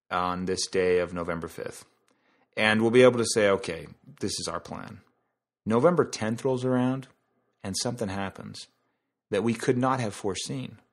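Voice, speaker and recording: Low-pitched man, casual solo podcast host. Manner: explains through analogies and asides. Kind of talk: casual conversational monologue.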